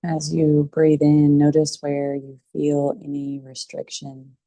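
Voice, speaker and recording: Woman, meditation teacher, very slow pace, mellow low tone, calm and soothing, long pauses. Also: dry recording, low-quality recording. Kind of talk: guided meditation.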